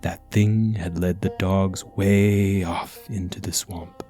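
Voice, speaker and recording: A man reading a scripted ghost story as narrator, dramatic and at times hushed. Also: deep voice, steady tone, slow pace, faint music behind.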